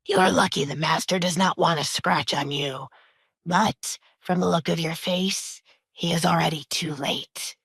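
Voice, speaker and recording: A woman voicing a sinister, mocking henchman in an audiobook, with a gravelly, husky drawl. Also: fairly low pitch, fairly even intonation, measured pace, a bit bright, no room sound, clean studio sound, full character voice. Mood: sinister relish. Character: menacing, taunting male henchman with a dagger.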